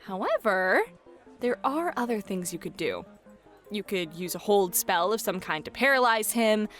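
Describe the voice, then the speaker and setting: A woman narrating a fantasy roleplay as game master, in a playful, teasing, expressive manner. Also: mid-range voice, normal speed, soft background music.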